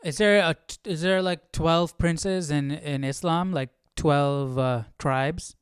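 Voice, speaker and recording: A man's voice: on the high side, slow, clear, resonant tone, no room sound, studio quality. A man hosting a livestream, chatting casually and musing jokily as he reacts to a reading. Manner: informal, musing, jokey. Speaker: livestream host.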